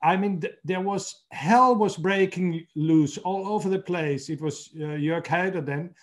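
A middle-aged man from Austria hosting a podcast interview over a video call from his home study, talking haltingly and repeating words as he searches for phrasing. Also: sits high. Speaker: podcast host. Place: home room with bookshelves.

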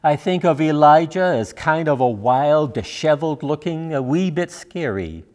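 An older man preaches a sermon as a minister. His voice sits a little high for a man, and his delivery is measured and deliberate. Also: animated, darkish tone. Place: church sanctuary, at a pulpit.

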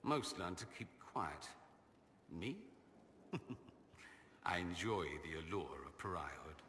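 A man's voice: low voice, lively intonation, slow pace, a bit bright, big-room sound, slight background noise, full character voice. An actor playing a witty, vain male mage nobleman who talks in a sardonic, self-amused drawl. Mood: wry. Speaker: companion character. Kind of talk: video game dialogue.

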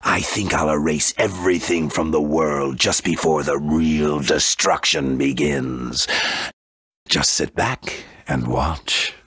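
Game arch-villain: rock-bottom pitch, raspy, grandiose, menacing, deliberate. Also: male voice, fairly even intonation, a bit bright, no echo, heavily acted. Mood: gloating. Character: grandiose dark male villain bent on wiping out the world. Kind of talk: video game voice acting.

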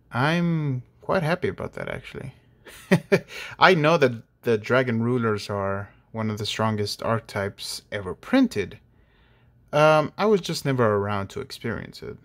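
A man sits at a table late at night, quietly opening card packs and naming each pull at an unhurried pace. His voice is kept low and sounds a little dry and off. He talks in a relaxed, plain way in a middle register. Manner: hushed, unhurried, reading card names aloud. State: voice a bit off, dry throat. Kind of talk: casual pack-opening commentary.

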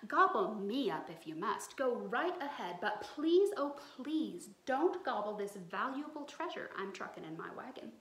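A woman voicing a bold, quick-witted young country girl in a storybook, sweetly polite and cunning. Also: a little high, big pitch swings, a bit bright, exaggerated voice. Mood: bold, pleading. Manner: pleading, sweetly cunning folksy talk. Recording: roomy echo, some background noise.

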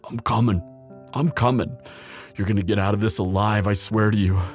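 A man playing a guilt-ridden bodyguard in love in a roleplay audio drama, speaking in an urgent, halting, earnest way. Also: fairly low pitch, steady tone, faint music behind, lightly acted. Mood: tender resolve.

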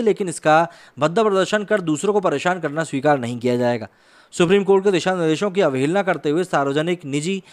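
A man in his thirties from India presenting explanatory news commentary as a host, speaking into a close studio microphone. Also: on the high side. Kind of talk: news explainer commentary, part conversational, part scripted narration. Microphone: close desk microphone on a boom arm.

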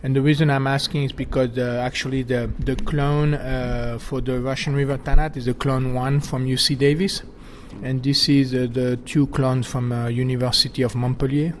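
A man with a French accent talks about vineyards in a flat, hesitant voice full of fillers. He comes from France. Faint background noise runs underneath. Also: mid-range voice, normal speed, few pauses. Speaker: winemaker. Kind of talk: casual conversation.

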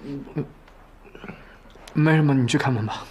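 A man's voice: mid-range voice, measured pace, a little mellow, natural voice. An actor playing a reserved, questioning young man in a romantic TV drama. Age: young adult. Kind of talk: naturalistic TV drama acting.

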